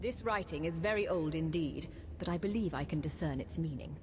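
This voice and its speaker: A woman voicing a composed, learned mage companion in a fantasy game, with formal, measured, eloquent delivery. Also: deep voice, a little mellow, slight background noise, close to an everyday voice. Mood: studious, reciting. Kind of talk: scripted fantasy game dialogue.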